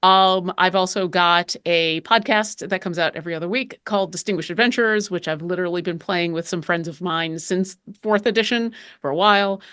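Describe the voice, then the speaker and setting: A woman's voice: lowish voice, brisk, few pauses, fairly bright tone, clear, resonant tone, no room sound, studio quality. A woman introducing herself on a panel in a chatty way, with lots of fillers. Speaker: panelist, content manager. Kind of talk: casual panel self-introduction.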